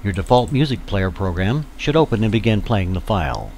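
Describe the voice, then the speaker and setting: A man's voice: on the low side, big pitch swings, fairly quick, darkish tone, slight background noise. A man giving scripted, step-by-step instructional narration as a tutorial narrator for volunteers.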